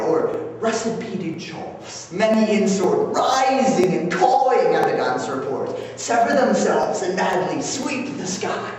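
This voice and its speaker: A man playing a mischievous male fairy sprite, declaiming Shakespearean verse loudly with swooping, drawn-out words. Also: high-pitched, voice pushed up, full character voice. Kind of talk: theatrical Shakespearean verse monologue. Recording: echoey theater recording from far off, noisy.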